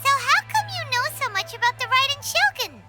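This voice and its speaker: A woman voicing a tiny, childlike fairy companion in a video game, squeaky, curious and cheeky, with an exclamatory way of talking. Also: fairly quick. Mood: excitable. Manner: exclamatory, inquisitive, expressive. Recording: music under the voice. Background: prominent background music.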